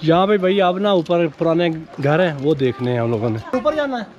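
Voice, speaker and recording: A man's voice: fairly high pitch, lively intonation, a little fast, dull audio, a loud crowd. A man with a Pakistani accent casually narrating a travel vlog and chatting with locals. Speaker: travel vlogger. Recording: clear background noise, handheld outdoor vlog.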